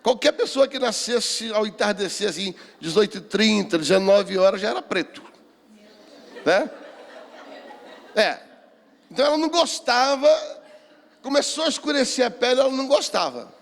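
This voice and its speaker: A Brazilian man in his sixties giving a sermon of personal testimony, speaking deliberately and repeating phrases for emphasis. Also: high voice, animated, creaky. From Rio de Janeiro, Brazil. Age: 60s. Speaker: pastor, seminar speaker. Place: church hall, speaking to a seminar. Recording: reverberant hall.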